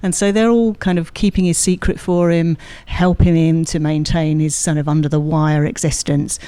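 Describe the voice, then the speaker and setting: A woman's voice: sits low, flat delivery, moderate pace, mellow, clean tone, no echo. A woman speaking as a guest expert in a studio interview, explaining in an articulate way and agreeing emphatically. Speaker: guest expert, university drama lecturer. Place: radio studio.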